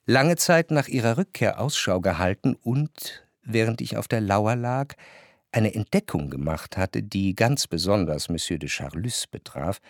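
A refined, reflective memoirist narrates a literary radio play. His voice sits on the low side and is recorded very dry. He phrases long sentences in a measured, deliberate way, as a careful observer. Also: animated, no echo. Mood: reflective, observant.

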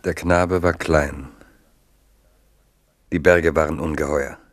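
A man narrating a literary radio drama in a measured, deliberate way. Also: very deep voice, fairly even intonation, slight background noise.

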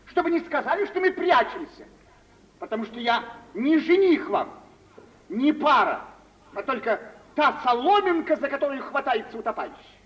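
An actor playing a vain young bridegroom with wounded pride, declamatory and self-pitying, in 1940s radio drama style. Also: male voice, very high voice, very animated, normal speed. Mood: bitter, self-pitying. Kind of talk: 1940s theatrical radio acting. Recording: distant, muffled old radio play recording.

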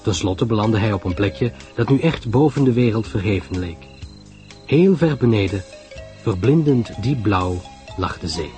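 A man's voice: fairly low pitch, expressive, measured pace, a little mellow, soft background music. A man narrating a mystery story in a dramatic, scripted reading style.

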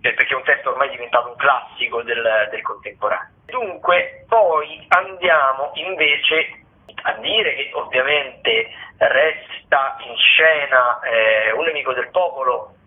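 A man's voice: mid-range voice, unhurried, narrow, tinny audio. A man who is a regular theatre critic on a radio show, running through the week's plays in a loose, rambling way. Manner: rambling, many fillers and restarts.

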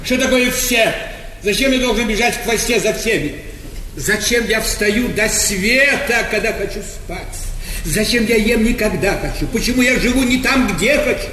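A man's voice: high-pitched, normal speed, pushed and bright. An actor playing an exasperated old aristocratic grandfather in a stage comedy, declaiming with indignation. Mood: indignant. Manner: declamatory, indignant rhetorical questioning. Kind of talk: theatrical stage comedy acting. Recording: echoey theatre hall, distant mics, noisy.

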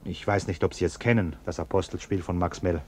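An actor playing a defensive, sententious middle-aged bourgeois husband in a German radio play, blustering and indignant. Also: male voice, sits low, steady tone, a little fast, slightly rough. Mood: earnest.

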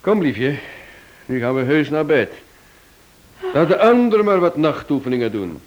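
An actor playing a wry, unruffled husband in a radio play, speaking dryly and ironically on an old recording. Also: male voice, a little high, very animated, muffled recording. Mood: dry irony. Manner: dry, ironic, unhurried. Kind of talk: Dutch-language radio play acting.

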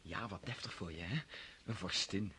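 A young nobleman just home from the army. His voice is bright and forward, his manner eager and hearty yet dutiful. Faint hiss from the old recording. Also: low-pitched, normal speed. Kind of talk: radio play acting.

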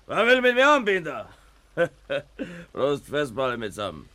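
Hard-drinking Silesian machinist, needling and cocky, sharp voice that swings widely in pitch. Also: male voice, huge pitch swings, unhurried, heavily acted. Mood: boastful, taunting. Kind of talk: naturalistic German radio drama acting.

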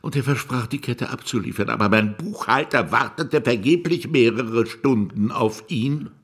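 An actor playing a wily, self-pitying crime boss in a radio play, gravelly and theatrical, swooping between wheedling and grumbling. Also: male voice, medium pitch, normal speed, a bit bright. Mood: confiding. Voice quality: gravelly, husky. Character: shady Chinese crime boss, wily, self-pitying, touchy about his reputation.

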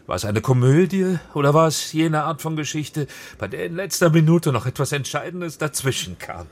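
A man's voice: a little high, lively intonation, moderate pace. An actor playing a driven, exhausted male film director, terse and preoccupied, in a naturalistic radio drama. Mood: musing.

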